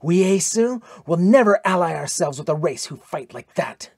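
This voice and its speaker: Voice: mid-range voice, animated, normal speed, darkish tone, slightly rough, dry recording, clean studio sound. A narrator voicing a fierce, proud Norse warrior princess with archaic, declamatory speech in an audiobook adventure. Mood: indignant, loathing.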